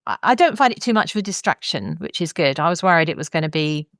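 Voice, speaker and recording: A woman's voice: lowish voice, expressive, quick pace, no echo, clean studio sound. A woman hosting a podcast, talking conversationally as she reviews and discusses. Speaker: podcast host.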